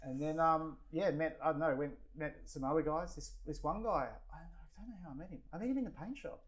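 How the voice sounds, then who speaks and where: A man's voice: on the high side, fast talker. A man in his forties with an Australian accent, telling stories in casual chat, rambling with many fillers and restarts and swearing freely. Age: 40s. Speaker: guest. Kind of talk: casual storytelling.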